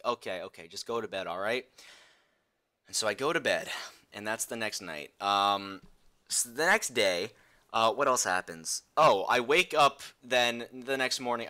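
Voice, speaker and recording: A young man with a bright, forceful voice tells a personal story in a casual video style. He is animated and conversational, slipping into dialogue as he recounts things. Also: mid-range voice. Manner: animated retelling, acts out dialogue.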